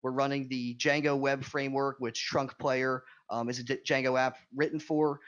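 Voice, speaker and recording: A man's voice: medium pitch, little pitch movement, normal speed, fairly bright tone, smooth, highs cut off. American man giving a technical presentation. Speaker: conference presenter. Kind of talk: informal technical slide presentation.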